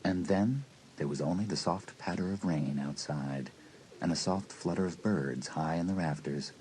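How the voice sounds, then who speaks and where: A man's voice: low-pitched, normal speed, a little mellow, poor audio. A man narrating a horror story for a dramatised audiobook, reading scripted prose. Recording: audiobook tape with faint noise.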